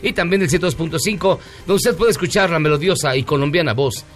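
A man's voice: on the high side, quick pace. Mexican man hosting a radio talk show. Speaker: radio host.

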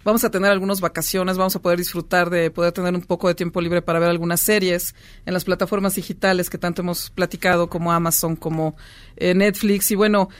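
A woman's voice: lowish voice, flat delivery, fast, no echo. A woman presenting her radio segment in a friendly, chatty way and introducing a guest. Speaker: radio columnist, co-host.

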